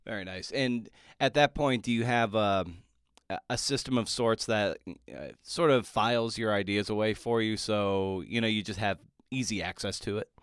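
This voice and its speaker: A man hosting a casual podcast interview, speaking in a halting way as he searches for his words. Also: on the low side, smooth, no echo, clean studio sound. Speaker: podcast host.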